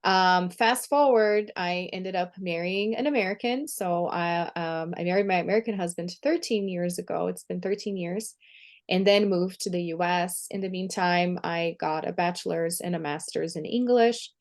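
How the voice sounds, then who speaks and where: Upbeat woman, lowish voice. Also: moderate pace. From Brazil. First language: Portuguese. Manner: upbeat, chatty, laughs easily. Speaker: guest, empowerment coach. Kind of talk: podcast interview.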